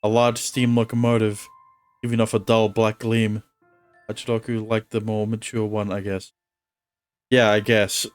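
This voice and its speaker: A male streamer who reads visual novel narration aloud in a steady tone and chats casually between lines. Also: lowish voice, normal speed, clean tone, soft background music.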